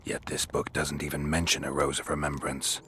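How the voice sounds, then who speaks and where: A man acting a stoic, battle-worn monster hunter in a video game, terse and deadpan in delivery. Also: very deep voice, moderate pace, a little hiss or hum. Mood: grim, measured. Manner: deadpan, terse, matter-of-fact.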